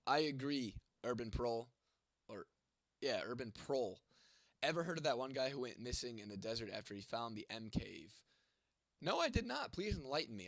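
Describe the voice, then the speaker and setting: A man's voice: mid-range voice, a bit bright, dry recording. A young man hosting a casual livestream and reading out viewer comments. He is congested and losing his voice, with a cough, and talks in a laid-back way with casual swearing. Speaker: livestream host.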